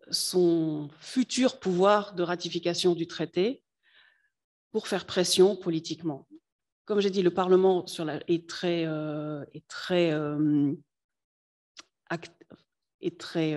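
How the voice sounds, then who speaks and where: A native French speaker giving a lecture to students, often pausing to search for words. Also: mid-range voice. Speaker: guest speaker on European affairs.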